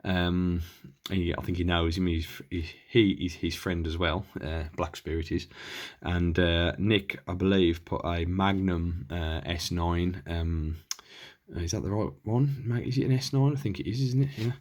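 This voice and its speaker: A man with a low voice talks through a piece of gear as a hobbyist presenter. He is unscripted and casual, often hesitating and wandering as he thinks out loud while his hands are busy. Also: fairly even intonation, a little slow. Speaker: hobbyist presenter reviewing radio equipment.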